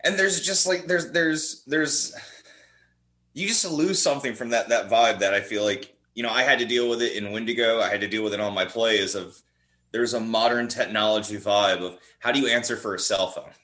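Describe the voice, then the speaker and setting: A man's voice: medium pitch, steady tone, normal speed, bright, forceful tone. A man chatting casually as a podcast guest, talking in an informal, emphatic way like an eager fan. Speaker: podcast guest, horror writer and performer. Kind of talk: casual chat.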